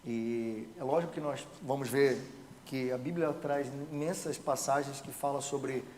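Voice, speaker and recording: A man teaching a Sabbath school class, reading scripture and explaining it in a measured way with rhetorical questions. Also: mid-range voice, darkish tone, slight background noise. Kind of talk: Bible study discussion. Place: church sanctuary. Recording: echoey church hall with long reverb.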